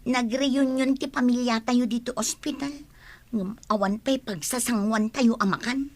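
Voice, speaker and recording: Radio drama, woman doing a fairly high, whiny, grumbling daughter. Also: steady tone, a little slow.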